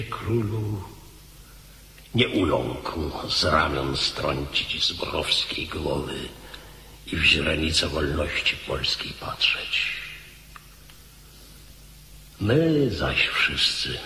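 Weary, slow, deep-voiced old commander. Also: male voice, sharp tone, full character voice. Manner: slow, solemn, declamatory. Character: weary old hetman commander pleading before his king. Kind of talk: solemn radio play acting. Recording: hall-like reverb, noise and hum.

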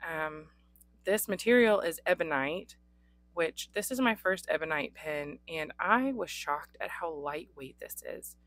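A young adult woman with a hoarse voice, hosting a casual pen unboxing with frequent ums. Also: low voice, animated, a little slow, a bit bright, dry recording. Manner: chatty, frequent ums, occasional coughs. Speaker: pen reviewer. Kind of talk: unboxing chat. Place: indoors at a desk. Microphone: overhead camera on desk, hands only.

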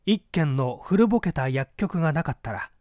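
A man reading literary fiction aloud as a scripted narration, with measured, deliberate phrasing. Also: a little high, lively intonation, a little mellow, dry recording, clean studio sound. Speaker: audiobook reader, narrator of classic mystery fiction.

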